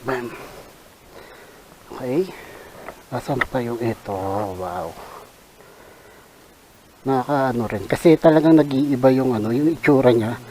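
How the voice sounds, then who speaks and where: Slow-talking Filipino man, mellow and casual. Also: medium pitch, poor audio. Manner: unscripted, halting, thinking aloud. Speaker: vlogger reviewing a product. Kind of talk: casual unboxing commentary. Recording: hissy background noise.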